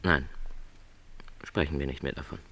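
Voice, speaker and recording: An actor playing a gentle, lonely middle-aged travelling salesman in a literary radio drama, speaking in a measured, reflective, hesitant way. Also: a bass voice, steady tone, breathy edge, full character voice. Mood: apologetic, careful.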